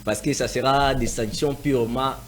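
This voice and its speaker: A man's voice: mid-range voice, fairly even intonation, moderate pace, slight background noise. A man from DR Congo speaking as a panelist in a televised debate, emphatic, repeating phrases for stress with many hesitant fillers.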